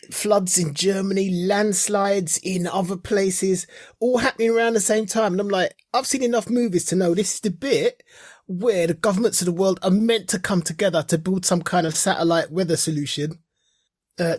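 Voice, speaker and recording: A man with a husky voice, co-hosting a podcast with wry, joking, casual geek chat. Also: high-pitched, darkish tone, no room sound, studio quality. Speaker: podcast co-host.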